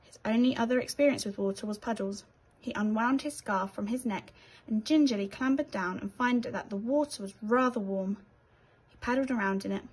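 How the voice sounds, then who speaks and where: A British woman narrates a children's storybook. Her voice sits a little high with a husky, unpolished edge, and she reads expressively, as a teacher would to young listeners. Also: moderate pace, rough recording.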